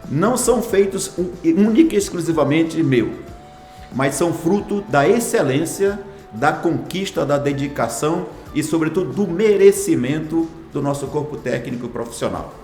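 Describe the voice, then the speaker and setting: A man's voice: on the high side, expressive, moderate pace, a little mellow, reverberant, faint music behind. A man in his sixties, a hospital foundation director, answering interview questions in a formal, ceremonious way with long lists of phrases. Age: 60s.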